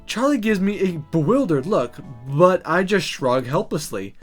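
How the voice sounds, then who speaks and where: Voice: mid-range voice, sing-song, unhurried. A let's play host reading visual novel narration aloud, with game music behind.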